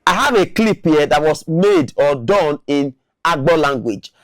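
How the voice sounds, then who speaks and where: A man's voice: fairly high pitch, expressive, unhurried, dry recording. A man giving argumentative opinion commentary, repeating words for emphasis. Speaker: online commentator.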